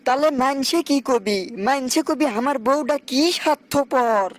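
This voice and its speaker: A man's voice: extremely high pitch, normal speed. A man voicing a stingy, quarrelsome village husband in a comic cartoon, with a northern Bangladeshi accent and sing-song rhyming delivery. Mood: pleading. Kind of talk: comic dialect cartoon dialogue.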